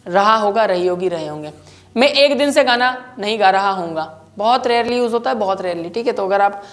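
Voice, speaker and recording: A woman's voice: medium pitch, animated, a little fast. A woman with an Indian accent teaches spoken English as a grammar lesson, drilling repeated example sentences.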